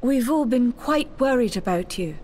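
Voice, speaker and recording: A woman playing a spirited, resolute young pirate lady in a video game, earnest and deliberate. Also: a little high, lively intonation, dark tone. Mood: concerned. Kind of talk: dramatic video game acting.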